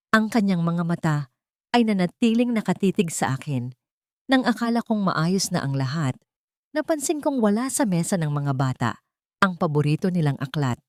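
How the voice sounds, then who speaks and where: A woman reads a dramatic first-person story. Her voice sits on the low side and moves deliberately, building suspense. The recording is close and dry, with no room sound. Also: a little mellow, dry recording. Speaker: audiobook narrator.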